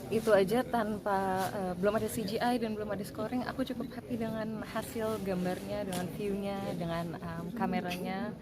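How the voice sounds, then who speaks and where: Woman, actress, casual interview, relaxed filler-laden talk, mid-pitched, loud crowd behind. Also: moderate pace. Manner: chatty and relaxed, frequent "eh" and "em" fillers.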